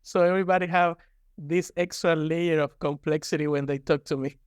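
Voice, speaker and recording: A man's voice: a little high, steady tone, fairly quick, smooth, no room sound, clean studio sound. A man from Cuba with a Cuban accent and native Spanish, speaking candidly and conversationally as an interview guest. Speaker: guest, university professor.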